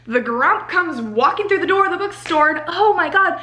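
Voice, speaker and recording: Young american girl ranting casually about a book, really high voice, says like constantly, echoey room. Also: normal speed. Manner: animated exasperated ranting, heavy use of "like". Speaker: book reviewer. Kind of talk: casual chatty book review to camera. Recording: roomy home echo.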